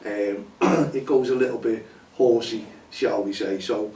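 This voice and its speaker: A hoarse man hosts a casual chat show, rambling and chatty with lots of fillers. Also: medium pitch, unhurried, mellow, a little hiss or hum. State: hoarse, losing his voice. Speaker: show host. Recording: roomy echo, distant from mic, faint ambience.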